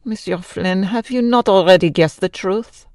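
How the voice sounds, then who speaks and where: A woman playing a grieving, sorrowful Frenchwoman in an old-time radio drama, speaking formally and haltingly. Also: mid-range voice, a little mellow, no echo, clean studio sound, natural voice. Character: grieving Frenchwoman, wife of a mad composer, sorrowful.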